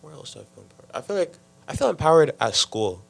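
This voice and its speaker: A teenage boy speaking casually on a youth podcast, halting and leaning on "like" and "I feel like". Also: on the high side, very animated, vocal fry, breathy edge. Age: teens. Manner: halting, heavy use of "like" and "I feel like". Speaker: podcast panelist. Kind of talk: casual discussion.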